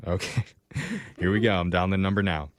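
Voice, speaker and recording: A man hosting a radio morning show, talking in lively banter. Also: low voice, brisk.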